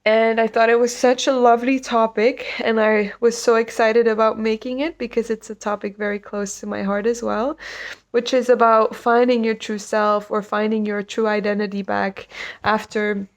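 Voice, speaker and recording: A woman's voice: on the high side, level tone, normal speed. A woman with a cold, sounding sniffly and nasal, talking casually and reflectively to camera as a coach. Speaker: mental health coach.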